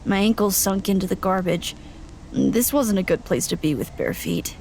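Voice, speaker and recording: A woman playing an uneasy young wanderer, narrating in the first person for an audio drama, plain and halting. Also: medium pitch, animated, vocal fry, a little mellow, a little hiss or hum, low-quality recording. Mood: wary, recounting.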